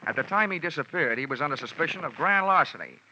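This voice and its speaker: An actor playing a wisecracking freelance insurance investigator in a 1940s radio drama, talking in dry, sarcastic quips. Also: male voice, on the high side, lively intonation, fast, bright, forceful tone, a little hiss or hum. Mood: sardonic. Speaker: lead character and narrator. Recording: muffled old radio recording with music.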